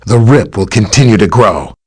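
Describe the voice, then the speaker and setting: An actor playing a helpful, earnest scientist superhero in a video game, explaining technical matters in a friendly way. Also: male voice, fairly low pitch, expressive, normal speed, a little mellow, breathy edge. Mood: grave, explanatory. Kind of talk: scripted game dialogue, explaining and briefing.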